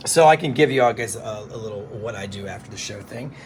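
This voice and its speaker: A man who works as a radio DJ, chatting casually on a phone livestream from his studio, relaxed and explaining things to viewers. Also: fairly low pitch, fast, slight background noise.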